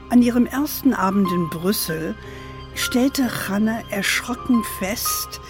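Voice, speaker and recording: A woman's voice: medium pitch, lightly acted. An actress playing an older grandmother with an American-tinged German accent, tenderly telling her family history in a children's radio play. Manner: measured, tender, sprinkles in English endearments. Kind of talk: gentle bedtime storytelling in a children's radio play.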